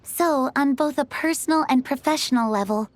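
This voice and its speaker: A woman's voice: high-pitched, normal speed, noisy background, lightly acted. A woman playing a gracious, refined lady in a fantasy game, speaking formally and politely. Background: faint background music.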